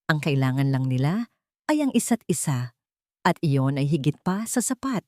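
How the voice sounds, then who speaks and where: A woman's voice: deep voice, very animated, moderate pace, a little mellow, dry recording, studio quality. A woman narrating a scripted dramatic story as a storyteller and host.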